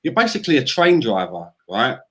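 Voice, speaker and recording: A man's voice: medium pitch, wildly swooping pitch, a little fast, fairly bright tone. A man co-hosting a casual chat, telling stories animatedly and laughing mid-sentence. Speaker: podcast co-host.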